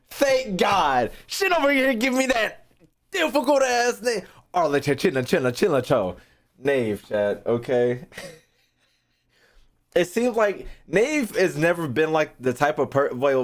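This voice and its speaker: Animated young man, streaming casually. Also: a little high. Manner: slangy, profane, repeats phrases while thinking aloud. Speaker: streamer reacting to game voice lines. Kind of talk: casual live-stream commentary.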